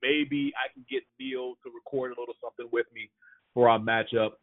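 A man's voice: medium pitch, fairly even intonation, fairly quick, no echo. A man co-hosting a casual sports podcast, going over injury news and power rankings. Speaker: podcast co-host. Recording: tinny phone-like dry sound.

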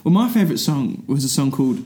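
A man's voice: mid-range voice, big pitch swings, fast talker, warm tone, a little hiss or hum. A man co-presenting a casual chat with a playful, wry manner. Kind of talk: casual banter.

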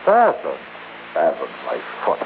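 An actor playing a cynical, slangy investigator with a mocking streetwise manner, in 1960s radio drama style. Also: male voice, very deep voice, huge pitch swings, slow pace, phone-quality sound, full character voice. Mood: sardonic. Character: cynical, sardonic, slangy male investigator, indignant at neglect. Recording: band-limited, faintly noisy old radio recording.

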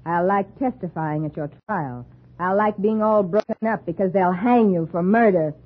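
A woman's voice: fairly low pitch, normal speed, slightly rough. An American actress playing a scheming, melodramatic wife who framed her husband, in 1950s radio drama style. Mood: coldly gloating. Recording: muffled, noisy old radio recording.

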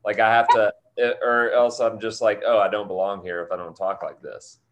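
A man from the Alabama Gulf Coast, co-hosting a podcast in a relaxed, casual way. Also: lowish voice, normal speed, muffled recording. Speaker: podcast co-host.

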